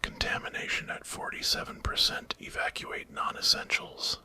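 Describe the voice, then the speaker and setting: A man's voice: moderate pace, pushed and bright. A man voicing a terse, impersonal spaceship computer in a whispered ASMR story. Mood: urgent warning.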